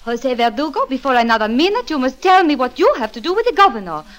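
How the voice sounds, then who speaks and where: An actress playing a playful, insistent young colonial wife in 1940s radio drama. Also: female voice, high voice, quick pace. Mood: curious, insistent. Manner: animated. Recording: vintage 1940s radio broadcast recording.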